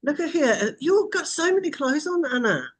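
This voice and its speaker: A woman's voice: high voice, very animated, moderate pace. A woman hosting a casual group video call in an informal, teasing way. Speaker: host.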